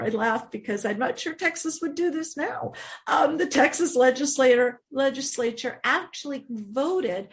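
A woman with an American accent speaking as an interviewed author, explaining history in an emphatic, informal storytelling way. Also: a little high.

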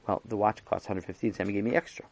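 A man's voice: fairly low pitch, fairly even intonation, creaky, no echo, voice pushed down. A man voicing a timid, reluctant schoolboy who speaks hesitantly and stumbles over words. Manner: hesitant, halting, quiet.

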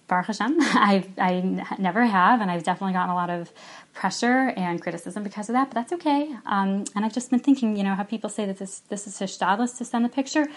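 A young woman sharing her personal experience in a voice note, earnest and halting, with many ums. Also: medium pitch. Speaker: listener sending a voice note.

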